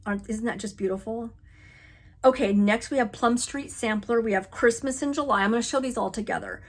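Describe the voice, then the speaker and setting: A chatty woman with a slightly raised pitch talks her viewers through a pile of craft patterns. She is enthusiastic and exclaims over each item. She laughs easily as she goes. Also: fairly quick. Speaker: flosstube host. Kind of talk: casual show-and-tell chat.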